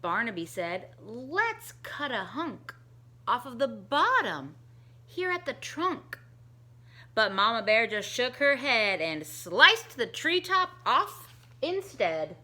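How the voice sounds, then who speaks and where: Slow, sing-song woman reading a storybook. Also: fairly high pitch. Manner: slow, sing-song and expressive, with character voices. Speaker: teacher reading a picture book aloud. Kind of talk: storybook read-aloud for children.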